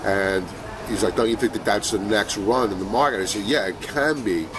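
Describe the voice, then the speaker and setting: Casting: lower-voiced middle-aged man with a head cold, chatty trading show host, city traffic clearly audible behind. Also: normal speed. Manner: conversational, many fillers and restarts. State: has a cold, sniffling. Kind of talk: casual market commentary.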